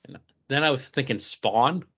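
A man co-hosting a podcast, thinking aloud in a halting, repetitive way during casual chat. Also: mid-range voice, fairly bright tone, breathy edge. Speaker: podcast co-host. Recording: dull, band-limited podcast audio.

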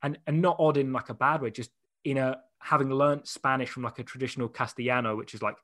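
A man's voice: mid-range voice, fairly even intonation, fairly bright tone, no echo, studio quality. Young man, casual interview guest, easygoing anecdotal storyteller, laughs readily. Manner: chatty storyteller, quick to laugh. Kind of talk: casual chat.

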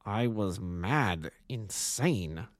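An imperious, agitated king played by a male narrator speaks in a harsh, deep voice with a German accent. His delivery is grandiose and deliberate, the bearing of a gigantic storybook nobleman, recorded dry and close. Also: lively intonation, no room sound. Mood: distressed.

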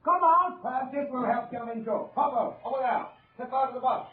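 An actor playing a leering, menacing German army officer with taunting, foreign phrasing in an old radio drama. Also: male voice, extremely high pitch, fairly quick. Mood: commanding. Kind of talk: 1940s-50s radio drama acting. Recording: echoing, narrow-band old radio, loud background.

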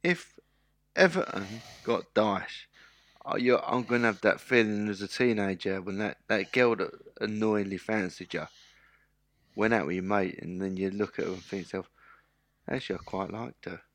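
A man on a football podcast panel speaks. His voice sits fairly low and is recorded dry. He chats casually, swearing and taking the mickey. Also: normal speed, fairly bright tone, no room sound.

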